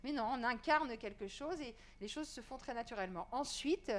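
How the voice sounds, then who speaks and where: A woman's voice: a little high, animated, moderate pace. A woman who writes and teaches, answering earnestly at a panel discussion, fluent but with frequent fillers. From Iran.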